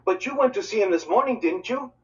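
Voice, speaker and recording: A man's voice: high-pitched, expressive, brisk, fairly bright tone, voice pushed up. A man voicing an anxious, demanding young boy in a storybook, with urgent, pleading questions. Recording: distant mic, dull lo-fi sound.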